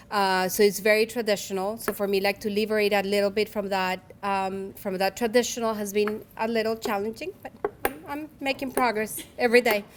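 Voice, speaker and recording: A woman's voice: medium pitch, a little hiss or hum. A woman in her forties with a Colombian Spanish accent, giving a personal keynote speech at a luncheon in an earnest, reflective way, often restarting her phrases. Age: 40s. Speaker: keynote speaker.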